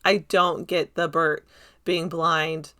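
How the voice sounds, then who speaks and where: A woman's voice: sits low, little pitch movement, takes it slowly, sharp tone. A woman giving casual, unscripted film commentary in a rambling, enthusiastic, conversational way. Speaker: video essayist reviewing a film.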